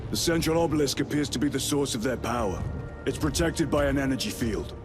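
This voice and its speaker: An actor playing a stern, battle-hardened space marine lieutenant with a formal, commanding manner in a video game cutscene. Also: male voice, medium pitch, a little fast, lightly acted. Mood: grave, resolute. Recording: loud cinematic music behind.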